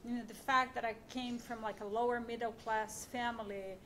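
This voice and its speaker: A woman's voice: a little high, steady tone, normal speed, a bit bright, slight background noise. Brazilian-accented woman, frank panelist. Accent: Brazilian Portuguese. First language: Portuguese. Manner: frank, conversational, light humor. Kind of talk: panel discussion.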